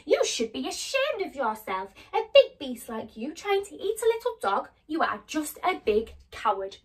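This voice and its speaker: A woman's voice: on the high side, very animated, a little fast, sharp tone, breathy edge, distant mic, exaggerated voice. A brave young girl from a storybook, voiced by a woman reader. She speaks firmly and boldly in a lifted voice. The voice sits back from the mic. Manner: firm, bold. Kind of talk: storybook read-aloud.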